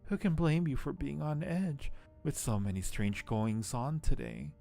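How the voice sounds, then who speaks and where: A man's voice: mid-range voice, sing-song, unhurried, vocal fry, dark tone, soft background music, voice pushed up. A man voicing a sinister elderly aunt in a game, coaxing and falsely sweet, with a nasty streak.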